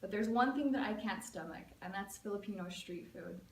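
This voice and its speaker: A woman's voice: medium pitch, a little fast, a little hiss or hum. A young American woman tells a personal story as a prepared speech, in a casual, chatty way full of "like" and "um". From United States. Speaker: student giving a speech. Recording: echoey room, mic at a distance, faint ambience.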